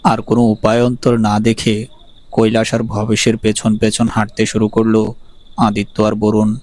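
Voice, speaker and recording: A man narrating a horror story in a grave, measured way, over music. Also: fairly low pitch, completely flat delivery, darkish tone.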